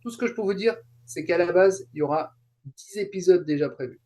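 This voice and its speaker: Male guest, notably high voice, casual explanatory chat with emphatic repetition. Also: fairly quick, warm tone. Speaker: interview guest, translator and YouTuber.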